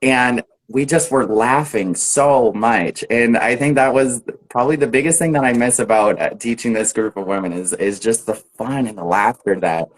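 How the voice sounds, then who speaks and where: An American man, an English teacher, chatting casually on a live video call, relaxed and laughing often. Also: mid-range voice, normal speed, few pauses, rough recording. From United States.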